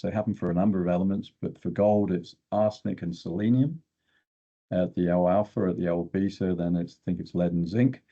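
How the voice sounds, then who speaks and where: Older male technical guest, deep and creaky, plain-spoken explainer, a little muffled on the recording. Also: mellow. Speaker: guest expert in exploration geochemistry.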